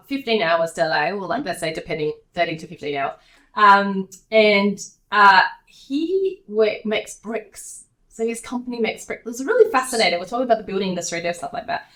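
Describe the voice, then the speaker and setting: A woman's voice: mid-range voice, fairly bright tone. Chatty Australian woman in an echoey room. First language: Taiwanese. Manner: chatty, loose run-on sentences, many fillers. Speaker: guest, estate agent and DJ. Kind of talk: casual interview chat. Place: hotel room in a city. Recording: echoey room, poor mic.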